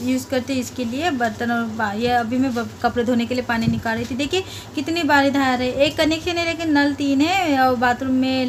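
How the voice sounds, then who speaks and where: A woman's voice: high voice, slight background noise. A middle-aged woman chatting casually through a home vlog, homely and hesitant, full of fillers and restarts. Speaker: home vlogger. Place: home and courtyard, indoors and outdoors.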